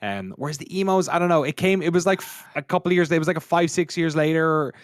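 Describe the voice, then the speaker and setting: A man's voice: on the high side, fast, no echo, clean studio sound. A man chatting casually as a podcast guest, speaking bluntly and swearing freely. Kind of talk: casual panel chat.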